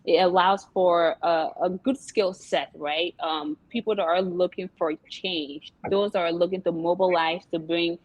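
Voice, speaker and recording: A woman's voice: fairly low pitch, fairly even intonation, measured pace, hardly any pauses, dry recording, poor audio. A young woman from Liberia, a guest entrepreneur in an interview, talking casually with many fillers and laughs. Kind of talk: interview chat.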